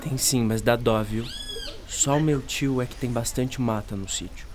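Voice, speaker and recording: A man's voice: medium pitch, a little slow, noisy background, lightly acted. A man playing a friendly, enthusiastic boy who knows his birds in a radio drama, with a Brazilian accent and a folksy, chatty manner. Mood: concerned. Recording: birdsong ambience behind the voice. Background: outdoor birdsong.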